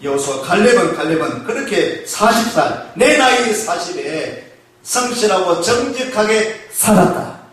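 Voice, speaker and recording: A male pastor preaching a Sunday sermon, asking the congregation questions and slowing down to stress key phrases. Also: high-pitched, animated, fairly bright tone. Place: church sanctuary. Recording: echoey church hall, mic far off.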